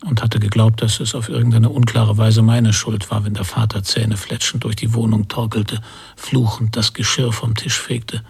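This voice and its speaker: Husky, brooding, haunted father. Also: lowish voice, flat delivery, moderate pace, a little mellow, full character voice. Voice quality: husky, gravelly. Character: haunted father remembering a violent childhood. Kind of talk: literary radio drama monologue.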